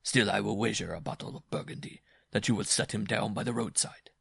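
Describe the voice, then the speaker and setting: A jovial circus bareback rider voiced by a male narrator, vain and pleased with himself, speaks in a teasing storybook manner. The sound is close and dry, with a slightly raised pitch. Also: a little fast, creaky, fairly bright tone, husky, no echo, exaggerated voice.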